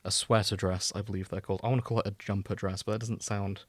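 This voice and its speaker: A man's voice: on the low side, flat delivery, fast, dry recording. A British man explaining things in a casual, wry, informal podcast chat, sometimes sweary. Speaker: podcast host explaining a video game.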